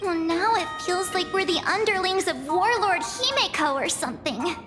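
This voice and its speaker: A woman's voice: very high voice, lively intonation, moderate pace. A woman voicing a youthful, cheeky, girlish companion in a video game. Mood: perky, bemused. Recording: long reverb under music.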